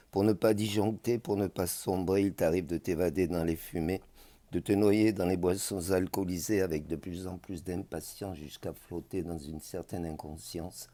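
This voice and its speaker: Deep-voiced man reciting spoken-word poetry. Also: mellow. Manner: solemn, measured, deliberate phrasing with refrains.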